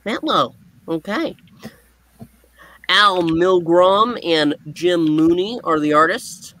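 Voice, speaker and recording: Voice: mid-range voice, lively intonation, takes it slowly, bright, forceful tone, rough recording. A co-host presenting a live comic book reading in a showy, theatrical announcer style with sudden emphatic bursts. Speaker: co-host and reader of a comic book puppet show.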